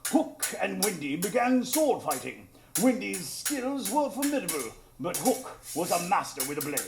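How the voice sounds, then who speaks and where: A man playing an earnest gentleman adventurer who narrates a live radio drama. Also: sits high, sing-song, moderate pace, creaky, over music, exaggerated voice. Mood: excited.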